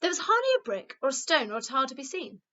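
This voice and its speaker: A woman reads a children's book aloud like a teacher to her class. Her high, bright voice moves up and down expressively, and the recording is a little dull. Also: sing-song, fast. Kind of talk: expressive children's storybook reading.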